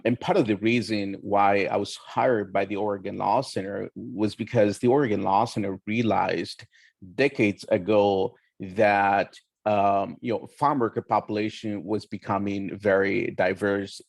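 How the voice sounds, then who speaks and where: A young man from Oaxaca, Mexico, whose first language is Mixtec, giving an earnest, measured talk on a roundtable panel with frequent pauses. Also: fairly low pitch, dry recording. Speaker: community educator and roundtable panelist. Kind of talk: roundtable presentation.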